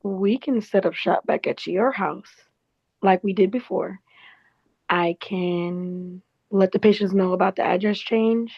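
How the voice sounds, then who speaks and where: A woman's voice: mid-range voice, measured pace, vocal fry, husky, lo-fi sound. A woman playing a helpful, cheeky office assistant in a casual, conversational drama table read. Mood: helpful, teasing. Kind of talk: casual naturalistic script read.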